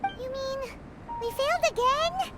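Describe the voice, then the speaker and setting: Super squeaky little fairy sidekick from a game, bouncy and cheeky, music playing loud behind. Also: female voice, measured pace. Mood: dismayed. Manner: speaks of self in third person, exclamatory. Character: tiny childlike fairy companion, bubbly and cheeky. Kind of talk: video game character acting.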